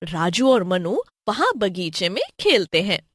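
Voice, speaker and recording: A woman's voice: on the low side, animated, a little slow, no room sound, clean studio sound. A woman narrating a scripted horror story as a storyteller.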